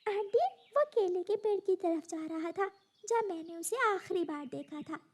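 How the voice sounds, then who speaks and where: Woman as a very high-pitched little girl bird. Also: animated, unhurried, darkish tone. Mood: earnest concern. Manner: earnest, polite. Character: polite, kind little girl bird, helpful. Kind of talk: Hindi animated moral story acting.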